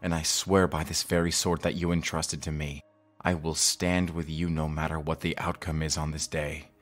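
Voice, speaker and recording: A man's voice: low-pitched, slow, fairly bright tone, soft background music, heavily acted. A man playing a dutiful, heartbroken young lord and human hero, earnest and formal, in a fantasy audio drama. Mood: grave, loyal.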